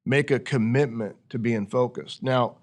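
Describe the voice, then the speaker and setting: Male podcast host, medium pitch, conversational coach who teaches through rhetorical questions. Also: measured pace. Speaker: podcast host leading a discussion.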